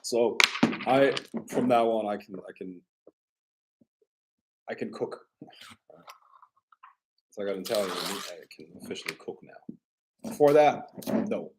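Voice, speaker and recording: A man chatting casually as a livestream host, halting and offhand, often repeating his phrases. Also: fairly low pitch, a little mellow, rough recording. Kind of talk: casual rambling livestream chat.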